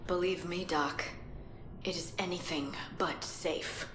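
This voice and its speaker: Slow, menacing, inhuman woman, echoey. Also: fairly low pitch, noisy background. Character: menacing woman scientist turned inhuman, cool and taunting. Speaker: video game character actor. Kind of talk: sci-fi horror game acting. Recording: echoey, with loud music behind.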